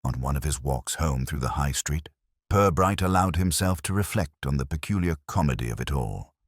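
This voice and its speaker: A man giving a measured, wry, scripted narration of a mystery story. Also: rumbling low, no room sound, clean studio sound.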